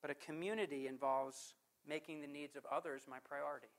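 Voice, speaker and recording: A man preaching a sermon as pastor. He puts questions to the congregation, slows down to stress scripture, and swings from forceful to hushed. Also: on the high side, steady tone. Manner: emphatic preaching with rhetorical questions.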